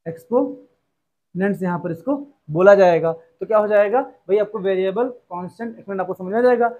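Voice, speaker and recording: Young male maths teacher, high voice, keeps asking and answering his own questions. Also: rough recording. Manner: repetitive rhetorical questions, addresses students as "beta". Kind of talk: classroom lesson explanation.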